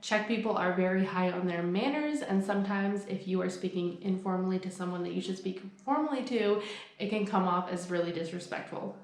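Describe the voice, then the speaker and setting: A woman's voice: medium pitch, a little fast, darkish tone. A young woman talking casually to camera in an upbeat, chatty way, explaining things with examples. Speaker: vlogger. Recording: echoey room.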